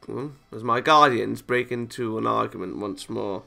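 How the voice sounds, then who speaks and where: A man's voice: lowish voice, normal speed, gravelly, sharp tone, soft background music. A man playing a visual novel, reading the story aloud and adding casual reactions of his own. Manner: chuckles and comments between read lines. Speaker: video game let's player.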